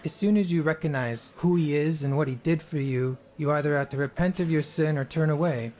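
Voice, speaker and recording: An actor playing a fervent, earnest young street preacher in a radio drama, with emphatic delivery. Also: male voice, on the high side, fairly quick, a little mellow, lightly acted. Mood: earnest, gentle.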